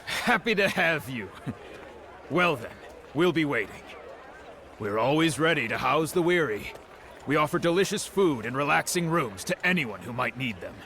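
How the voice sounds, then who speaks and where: A man's voice: on the high side, lively intonation, normal speed, pushed and bright, slight background noise. An actor playing a polite, gossipy innkeeper who is eager to serve his customers. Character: friendly, chatty male innkeeper. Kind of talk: game cutscene voice acting.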